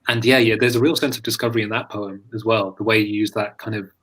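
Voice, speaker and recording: A man's voice: lowish voice, fairly even intonation, fast talker, a bit bright. A British man speaking as a guest poet in a casual online conversation, thoughtful and tentative, often restarting his phrases. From London, England. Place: live online video call.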